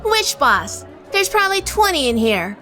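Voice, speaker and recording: A woman acts a feisty dame from a hardboiled period radio drama. Her voice is high and bright, with a sassy, sardonic bite, over faint music. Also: very animated, moderate pace, full character voice. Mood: nostalgic, curious. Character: feisty, flirtatious 1930s gangster's wife, sharp-tongued and bold. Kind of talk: hardboiled period radio drama dialogue and first-person narration.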